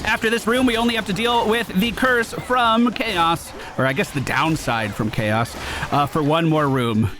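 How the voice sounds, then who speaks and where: A man giving casual gameplay commentary in an excitable way, given to swearing when thrilled. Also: high-pitched, moderate pace. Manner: excitable, profane exclamations. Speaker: gaming video host. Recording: game music behind voice. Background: video game music.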